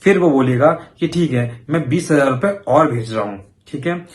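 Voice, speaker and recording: A man's voice: medium pitch, expressive, normal speed. A man hosting an informal explainer for viewers, talking conversationally and mixing in English words. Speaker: host of a banking awareness video channel.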